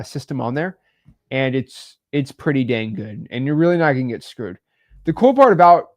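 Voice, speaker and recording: A young man co-hosting a podcast, giving casual business advice in a rambling way with many fillers. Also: a little high. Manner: rambling, many fillers, animated swings in loudness. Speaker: podcast co-host.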